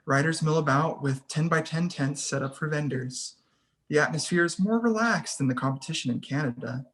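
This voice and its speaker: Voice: mid-range voice, normal speed, fairly bright tone. A person reading scene headings and stage directions as scripted narration for a screenplay table read.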